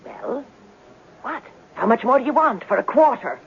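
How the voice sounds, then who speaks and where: A man playing an eccentric, greedy palmist woman in a radio drama, with halting, melodramatic mystical pronouncements. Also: very high voice, expressive, full character voice. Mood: brisk, haughty. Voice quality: creaky. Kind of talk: 1970s radio drama acting. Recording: dull, slightly noisy 1970s radio recording.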